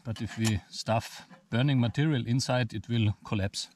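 A man's voice: mid-range voice, normal speed, a little mellow, a little hiss or hum. A man with a German accent, a native German speaker, explaining a camp stove step by step outdoors in a forest. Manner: explains step by step, plain simple phrasing. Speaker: bushcraft instructor. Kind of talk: outdoor demonstration vlog.

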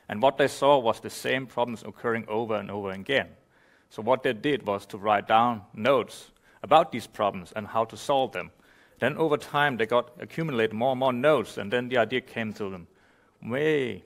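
A man from Denmark, giving a relaxed technical conference talk and explaining with many "you know" fillers. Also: lowish voice. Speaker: conference speaker. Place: conference room.

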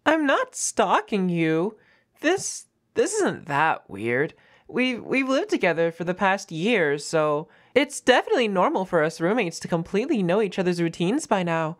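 A woman's voice: mid-range voice, big pitch swings, moderate pace, close to an everyday voice. A woman voicing a defensive, flustered young half-wolf girl roommate in an audio roleplay, stammering and evasive with a whiny manner.

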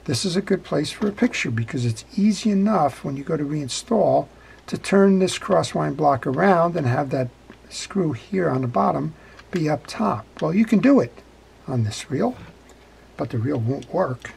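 A middle-aged man hosting a hands-on fishing reel repair tutorial at his workbench, talking in an unhurried, folksy way with frequent "uh" and "well" fillers. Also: fairly high pitch, expressive, gravelly, darkish tone, a little hiss or hum. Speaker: reel repair channel host. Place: workbench, indoors.

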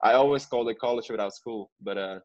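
A man's voice: mid-range voice, fast talker, darkish tone, highs cut off. A man in his twenties, a pro soccer player, chatting casually as an interview guest. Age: 20s. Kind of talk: casual podcast interview chat.

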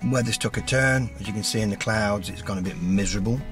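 A man with a rough, hoarse voice, chatting in a rambling way as he drives and vlogs. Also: lowish voice, quick pace. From England. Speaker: vlogger. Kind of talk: casual driving commentary. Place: inside a car while driving. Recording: loud music under the voice.

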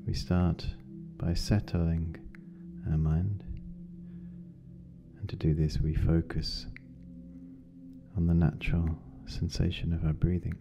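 Very slow, deep-voiced meditation guide. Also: flat delivery, vocal fry. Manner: gentle, unhurried, with long pauses. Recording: dull lo-fi sound, music behind. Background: meditation music.

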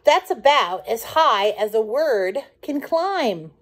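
A middle-aged woman reading a children's picture book aloud in an expressive, deliberate, sing-song way. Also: on the high side. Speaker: children's storytime reader.

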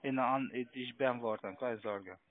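A man's voice: medium pitch, unhurried. Male scam caller, crude and taunting, tinny telephone sound. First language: not German. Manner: crude, taunting, broken phrasing. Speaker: scam call centre caller. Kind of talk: confrontational phone argument. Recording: muffled, narrow phone line.

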